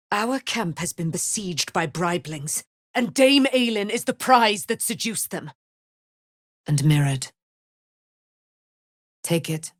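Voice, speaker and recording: A woman's voice: on the low side, no room sound, studio quality. A woman playing a proud, vengeful and noble immortal warrior in a fantasy video game, declaiming in a grand, formal, archaic way. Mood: grave.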